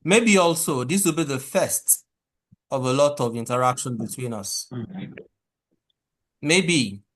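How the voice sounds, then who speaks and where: Measured man teaching a motivational class. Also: mid-range voice, expressive, a bit bright.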